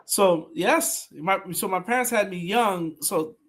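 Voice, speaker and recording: High-voiced man hosting. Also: takes it slowly. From Brooklyn, New York. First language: Haitian Creole. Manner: animated storytelling, emphatic repeats. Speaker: podcast host. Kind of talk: conversational interview.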